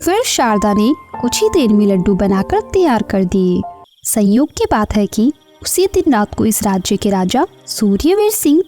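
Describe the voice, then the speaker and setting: A woman's voice: mid-range voice, warm tone. A woman narrator reading a scripted children's story.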